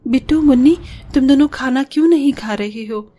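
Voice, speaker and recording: A woman voicing a poor, worried, gentle mother in a cartoon story, earnest and plaintive. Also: high voice, normal speed. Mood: concerned.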